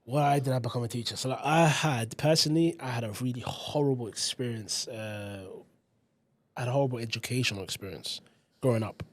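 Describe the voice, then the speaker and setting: A man in his thirties from South London with a London accent, a teacher telling his own school story as a podcast guest in quick, animated, slangy chat. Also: medium pitch, no echo. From South London, England. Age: 30s.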